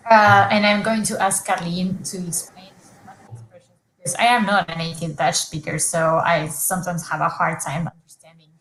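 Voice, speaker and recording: A woman's voice: on the low side, flat delivery, moderate pace, fairly bright tone, echoey room, rough recording. A woman presenting research at an academic conference, explaining examples step by step with frequent fillers and restarts over a video call.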